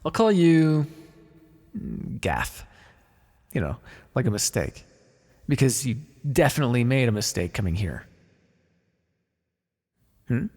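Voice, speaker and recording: A man playing a blunt, callous, wryly businesslike necromancer in an ASMR fantasy roleplay, muttering in a deadpan, gravelly voice. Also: medium pitch, slow, long pauses, exaggerated voice. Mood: wry, matter-of-fact. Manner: deadpan, muttering to himself in thought. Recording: long reverberant hall echo.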